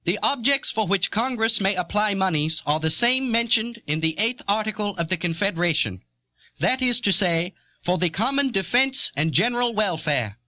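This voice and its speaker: Sharp, high-voiced 18th-century statesman. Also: lively intonation. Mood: formal, insistent. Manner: formal, deliberate oratory. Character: Roger Sherman, earnest 18th-century American statesman. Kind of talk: dramatised historical quotation. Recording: dull old audio cassette.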